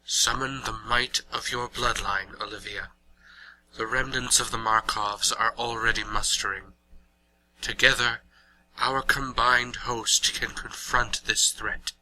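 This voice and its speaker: Man playing a slow, rasping, sharp-edged ancient vampire. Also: medium pitch, fairly even intonation, low-quality recording. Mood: urgent, commanding. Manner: cryptic, slow, grave. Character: brooding, weary ancient male vampire planeswalker. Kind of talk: audio drama acting.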